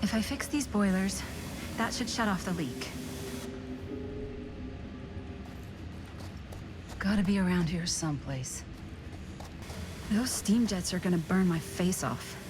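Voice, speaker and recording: A woman playing a tough, skeptical, sharp-tongued character in a video game, in a naturalistic, sardonic way. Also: on the low side, normal speed, noisy background, lightly acted. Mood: wary, muttering. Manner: blunt, sardonic.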